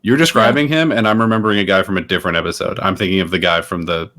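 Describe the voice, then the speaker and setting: A man with a low voice chats on a podcast panel. His delivery is casual, blunt and offhand. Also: very fast, fairly bright tone. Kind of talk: casual chat.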